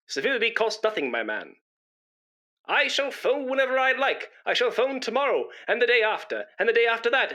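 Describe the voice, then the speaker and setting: A man's voice: high voice, sharp tone, full character voice. A man playing a pompous, self-important, easily aggrieved middle-aged man in a radio comedy. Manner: pompous, indignant, sententious. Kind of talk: British radio comedy acting.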